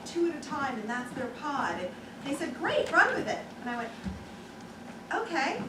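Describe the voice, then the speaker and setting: Woman festival director, higher voice, informal stage introduction, distant and roomy recording. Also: very animated, moderate pace. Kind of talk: casual spoken introduction to live audience. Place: small theater stage. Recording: echoey theater from far off, loud noise.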